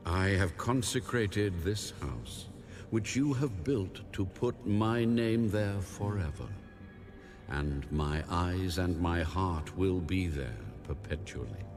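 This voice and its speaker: A man playing God in a dramatized Bible reading, solemn and commanding, speaking in a grave, measured way. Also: sits low, lively intonation, heavily acted. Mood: solemn promise. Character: God, solemn commanding male deity giving a warning. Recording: music under the voice. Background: music.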